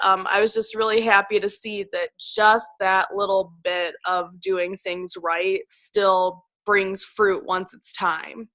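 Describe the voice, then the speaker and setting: Woman, scratchy with a cold, bright voice, chatty team member on a video call. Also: mid-range voice. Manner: chatty, rambling with fillers. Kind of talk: casual call chat.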